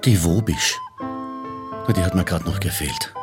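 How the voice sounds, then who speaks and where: An actor playing a dry, sardonic police inspector in a radio drama. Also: male voice, deep voice, a little slow. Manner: dry, sardonic, hesitant when pressed. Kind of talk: German radio drama acting. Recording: music behind the voice.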